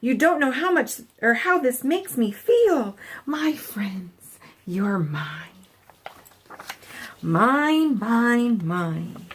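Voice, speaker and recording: A woman's voice: on the high side, big pitch swings, husky, voice pushed up, heavily acted. Lady reading as a bratty boy dinosaur from a picture book, possessive, stretches words out, swings up and down. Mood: elated. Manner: drawn-out, emphatic, grumbling to shouting. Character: grumpy, possessive young male dinosaur bully, eager to belong.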